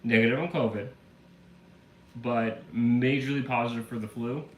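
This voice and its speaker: A man's voice: mid-range voice, fairly even intonation, normal speed. A man live streaming who is getting over the flu and still has a slight cough. He chats casually, rambling through a story with lots of fillers. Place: room at home. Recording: echoey room with faint ambient hum.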